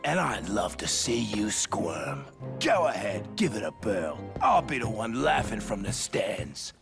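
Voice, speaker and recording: A man's voice: fairly low pitch, sing-song, a little slow, gravelly, over music, heavily acted. A man playing a boastful, taunting rival racer in a video game, with an Australian accent and a sneering swagger. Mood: smug taunting. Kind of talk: cartoonish game voice acting.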